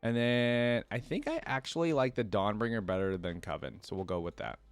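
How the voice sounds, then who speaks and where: A man's voice: fairly low pitch, expressive, a little fast, clear, resonant tone, no echo. A man hosting a reaction video, commenting casually and informally, thinking aloud as he browses and reads.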